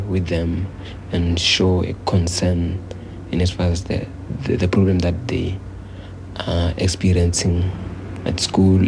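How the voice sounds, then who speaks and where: A South African man explaining in a measured, hesitant way during a documentary interview. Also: low-pitched, creaky. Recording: noisy 1980s newsreel location sound.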